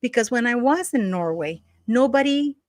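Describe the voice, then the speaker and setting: A woman's voice: on the high side, expressive, moderate pace, darkish tone, no echo. Scornful woman, dry close sound. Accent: non-native English accent. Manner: scornful, gossipy, emphatic, drops curse words. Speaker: YouTube commentator. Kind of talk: casual ranting commentary. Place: at home.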